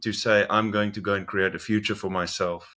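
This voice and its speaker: A man's voice: fairly low pitch, steady tone, brisk, sharp tone. A man with a South African accent hosting an interview and encouraging his guest, with small restarts. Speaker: podcast host.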